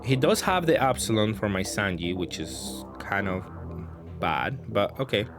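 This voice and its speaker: A man's voice: fairly low pitch, animated, unhurried, music in the background. A man giving casual gameplay commentary, hesitantly thinking aloud as he plays. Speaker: card game player commentating on own matches.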